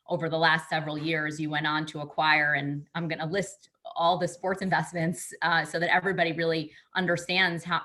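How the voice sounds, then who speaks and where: A woman's voice: low-pitched, pushed and bright. A woman hosting an interview, asking questions in a polished, complimentary way with some fillers. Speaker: interviewer, host.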